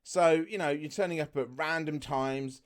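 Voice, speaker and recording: A man with a naturally loud voice, talking casually to camera as a travel vlogger, loose and full of fillers and asides. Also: a little high, moderate pace.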